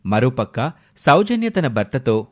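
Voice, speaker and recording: A man's voice: mid-range voice, very animated, unhurried. A man narrating a scripted moral story as its storyteller.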